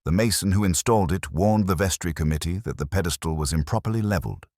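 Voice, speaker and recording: Man reading as grave, gentle inspector, extremely deep. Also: fast talker, no echo, studio quality. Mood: quietly stern. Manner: gentle, quietly firm, formal. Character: calm, grave, measured male police inspector. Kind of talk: dramatised detective story dialogue.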